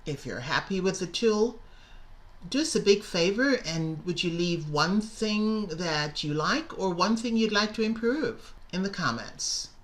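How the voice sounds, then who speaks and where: A woman's voice: on the low side, animated, unhurried. A middle-aged woman teacher narrating a scripted lesson, enunciating carefully and stressing key words. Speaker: English teacher and presenter.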